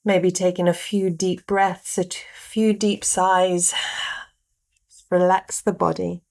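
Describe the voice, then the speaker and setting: A woman guiding a meditation, giving gentle instructions in short phrases with pauses between them. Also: on the low side, little pitch movement, creaky. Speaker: meditation guide. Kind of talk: guided meditation narration.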